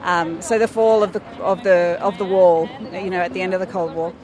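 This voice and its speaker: A woman's voice: fairly low pitch, steady tone, a little fast. An Australian woman speaking enthusiastically and off the cuff as a campaign organiser in a street interview. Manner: enthusiastic, effusive. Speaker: anti-nuclear campaign organiser being interviewed. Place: outdoors in a city street. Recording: outdoor city recording with crowd noise.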